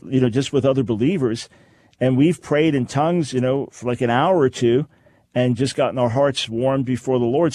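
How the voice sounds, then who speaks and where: A man hosting a call-in radio show, explaining his views to callers in a measured way and repeating phrases for emphasis. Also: medium pitch, darkish tone, dry recording. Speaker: radio talk show host. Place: radio studio. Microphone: studio microphone.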